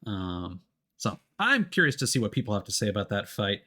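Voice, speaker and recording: A man's voice: fairly low pitch, very animated, bright, forceful tone. Man chatting casually on a livestream, hesitant. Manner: hesitant, rambling, with frequent ums and pauses. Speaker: video game livestreamer. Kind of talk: casual chat to stream viewers.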